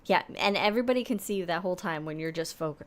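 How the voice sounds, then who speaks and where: A woman's voice: on the low side, fast talker, slight background noise. A woman co-hosting a podcast in casual chat, rambling and laughing easily. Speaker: podcast co-host.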